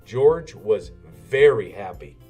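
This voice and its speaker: Animated, slow-paced male storybook reader. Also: on the low side, breathy edge. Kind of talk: animated children's story narration. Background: music.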